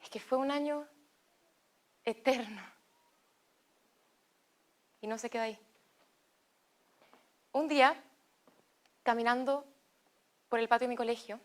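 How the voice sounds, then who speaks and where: A young woman with a Chilean Spanish accent narrates a personal testimony in a slow, deliberate way with pauses. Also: on the high side.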